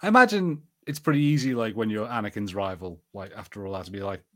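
A man's voice: on the low side, fast talker. A man co-hosting a podcast with casual, playful, joking banter. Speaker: podcast co-host.

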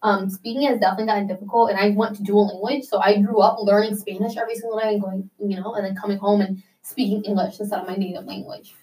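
A young woman from the United States talks in a loose, casual interview way, full of "like" and little restarts. Her pitch sits in an ordinary middle range. She sounds slightly set back from the microphone. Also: flat delivery, fast, hardly any pauses, a little mellow, distant mic, low-quality recording. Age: young adult. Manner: chatty, many "like" fillers.

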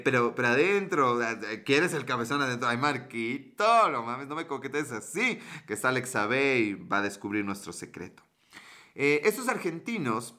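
Bright-voiced joking Mexican man. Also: medium pitch. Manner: joking, crude slang, rambling. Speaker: streamer. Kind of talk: casual livestream chat, reading viewer comments.

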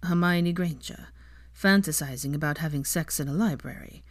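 A woman voicing a rough, teasing young man who talks in a low, husky, growling murmur. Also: moderate pace, no echo, clean studio sound. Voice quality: husky whisper.

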